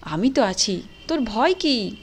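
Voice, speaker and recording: A woman's voice: a little high, big pitch swings, a little slow, breathy edge, heavily acted. An actress playing a caring middle-aged housewife in a cartoon moral story, earnest and persuasive in her talk. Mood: encouraging. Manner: earnest, coaxing, insistent.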